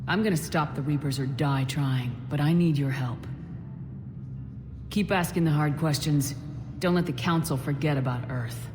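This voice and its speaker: An actor playing a resolute, composed military commander in a video game, measured and terse. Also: male voice, fairly high pitch, noisy background, lightly acted. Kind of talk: dramatic video game dialogue. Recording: reverberant hall, music behind. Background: music.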